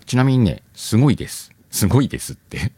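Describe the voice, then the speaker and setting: A man's voice: medium pitch, very animated, fast, darkish tone, breathy edge, dry recording. A man hosting a channel, chatting informally and addressing his listeners as he makes a casual announcement. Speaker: channel host.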